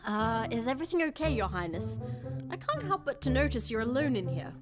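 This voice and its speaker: An actress playing an earnest, determined young princess in an audio drama, polite and diplomatic. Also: female voice, on the high side, big pitch swings, a little fast, music in the background. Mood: polite, uneasy.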